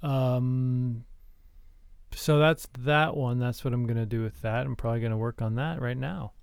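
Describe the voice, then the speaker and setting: Clean, deadpan man co-hosting a podcast. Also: mid-range voice, normal speed, vocal fry, clear, resonant tone, no echo, clean studio sound. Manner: mock-earnest, deadpan. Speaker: podcast co-host. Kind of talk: casual deadpan comedy podcast chat.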